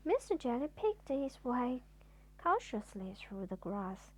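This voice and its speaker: A woman narrating a children's storybook as a parent would at bedtime, with deliberate, expressive phrasing. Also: fairly high pitch.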